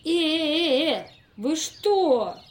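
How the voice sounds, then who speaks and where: A woman's voice: sits high, lively intonation, very slow, heavily acted. A woman playing an impatient, businesslike experimenter in an amateur audio play, giving instructions with exasperation. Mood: exasperated.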